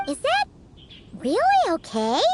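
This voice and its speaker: Tiny female fairy sidekick for a game: extremely high, childlike, cheeky, animated delivery over music. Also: unhurried, heavy background noise, full character voice. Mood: concerned. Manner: refers to self in third person, childlike. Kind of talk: video game voice acting.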